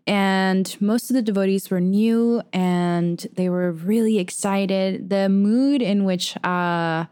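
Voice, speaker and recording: A woman's voice: mid-range voice, takes it slowly, clear, resonant tone, dry recording, studio quality. Chatty young woman telling a story. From Venezuela. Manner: chatty and expressive, laughs often, acts out quoted voices. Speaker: podcast co-host. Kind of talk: casual chat, personal story.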